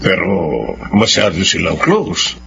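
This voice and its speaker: Man acting probing Filipino father, very animated pitch. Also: unhurried, vocal fry, heavily acted. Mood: grave, probing. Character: probing, protective middle-aged father. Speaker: drama voice actor. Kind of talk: radio drama acting. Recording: dull lo-fi audio with hum.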